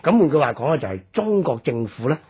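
A man's voice: mid-range voice, sing-song, quick pace, a little mellow, breathy edge. A man in his sixties from Hong Kong, with a Hong Kong Cantonese accent, explaining history on a radio talk show and padding his sentences with fillers. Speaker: guest commentator.

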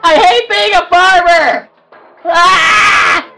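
Woman voicing a high-pitched, whiny little cartoon devil. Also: fairly even intonation, extremely slow, gravelly, shouting, recorded from a distance, over loud music, full character voice, poor audio. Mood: indignant. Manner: whiny, petulant, hesitant complaining. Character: short, grumpy, whiny cartoon devil who hates work. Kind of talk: improvised cartoon roleplay.

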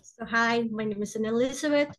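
A woman in her twenties from Sweden, a student and panelist, talking quickly and casually over a video call. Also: a little high. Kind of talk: personal account on a panel. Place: home room. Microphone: video call from a laptop.

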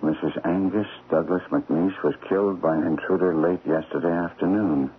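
An actor playing an anxious, guilty stockbroker in a radio mystery drama. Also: male voice, low-pitched, steady tone, normal speed, natural voice. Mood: reading aloud. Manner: anxious, questioning. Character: nervous, guilt-ridden male stockbroker. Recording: muffled, noisy old radio broadcast.

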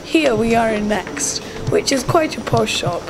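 A woman's voice: on the high side, lively intonation, measured pace. A woman narrating her vlog in a cheerful, lightly mock-serious way. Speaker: vlogger filming and narrating. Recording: strong background noise.

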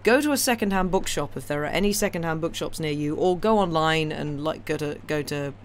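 A woman's voice: deep voice, brisk. Woman chatting over background noise. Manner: wry, opinionated, enthusiastic bookish talk. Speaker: livestream host who reads classic novels aloud. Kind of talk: casual rambling chat with a live audience. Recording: clear steady background noise.

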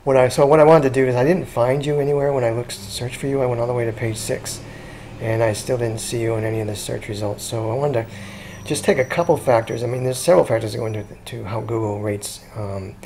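A man talking casually through a screen-recorded walkthrough as a consultant, chatty and relaxed, with frequent ums and restarts. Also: mid-range voice, quick pace, a little hiss or hum, low-quality recording. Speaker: SEO consultant pitching a business.

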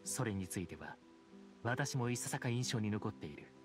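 An actor playing a dignified, aloof judge in a game, speaking in a formal, measured, reserved way. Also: male voice, lowish voice, lively intonation, over music, close to an everyday voice. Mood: grave, measured. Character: solemn, dignified supreme judge, an aloof grown man. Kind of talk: Japanese game voice acting.

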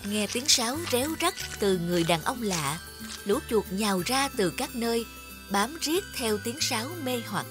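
A woman voicing the narration of an animated fairy tale in a storytelling style. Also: fairly high pitch, normal speed, close to an everyday voice. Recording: music bed under the voice.

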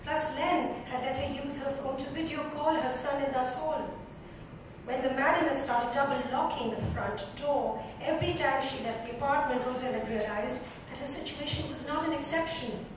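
A woman's voice: high-pitched, moderate pace. A woman giving a dramatic reading of literary fiction. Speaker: book reader. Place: indoor club lounge. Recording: echoey room, distant mic, background noise.

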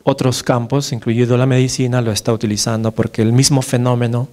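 A man's voice: mid-range voice, level tone, a little fast, a little mellow. A Peruvian man in his thirties, a neurologist giving a conference lecture in a large lecture hall at a podium mic. He talks conversationally, with many fillers and tag questions. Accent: Peruvian Spanish. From Peru. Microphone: podium microphone, far camera.

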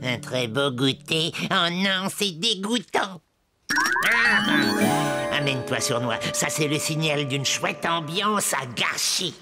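A woman's voice: sits low, expressive, takes it slowly, loud music behind the voice. A woman voicing a grumpy, greedy male goblin troublemaker in a cartoon, theatrical and gleefully scheming. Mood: sneering, disgusted. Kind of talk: cartoon dubbing.

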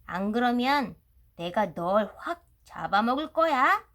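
Feisty little rabbit sister for a storybook played by a woman: high, grumbling, indignant, very animated delivery. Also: slow pace, full character voice. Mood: defiant. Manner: indignant, tattling, shouting. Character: feisty, suspicious, grumbling young girl rabbit, big sister. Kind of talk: storybook character voice.

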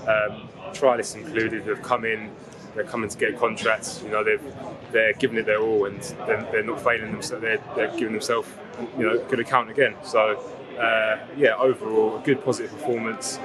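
A young man speaking in a flat, level tone, answering post-match questions at an outdoor football ground. His answers run loose and easy, padded with ums and you knows. Crowd chatter sits clearly in the background. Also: on the low side, a little fast, poor audio. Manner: relaxed, fluent, filler-heavy answers. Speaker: footballer, vice captain. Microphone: lapel mic.

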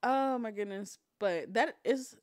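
A woman in her twenties co-hosting a casual livestream chat, excitable, laughing loudly and swearing freely. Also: fairly high pitch, unhurried, dry recording, clean studio sound. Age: 20s. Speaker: co-host.

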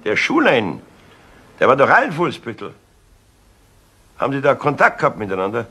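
An actor with a Bavarian accent playing a dry, composed police chief inspector in a 1970s German crime radio play, speaking deliberately. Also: male voice, medium pitch, huge pitch swings, pushed and bright, husky, full character voice. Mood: businesslike. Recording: noisy vintage radio drama recording.

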